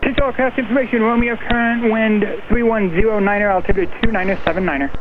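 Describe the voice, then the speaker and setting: A high-pitched man speaks as a tower controller over a noisy aviation radio. He gives clipped, procedural instructions, with aircraft noise behind him. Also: steady tone, fast, phone-quality sound. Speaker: air traffic controller. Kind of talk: radio air traffic instructions. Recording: noisy air traffic radio, aircraft ambience.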